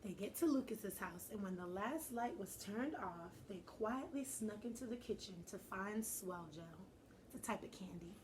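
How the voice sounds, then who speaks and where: A woman teacher doing an animated storybook read-aloud, encouraging and pausing with questions for young listeners. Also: medium pitch, background noise. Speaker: teacher reading a picture book aloud to children.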